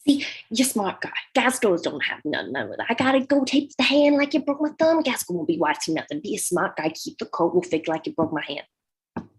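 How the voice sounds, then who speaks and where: A woman's voice: mid-range voice, sing-song, brisk, breathy edge, full character voice. A woman playing a frightened male dockworker in debt, pleading and whining in a script table read. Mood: wheedling.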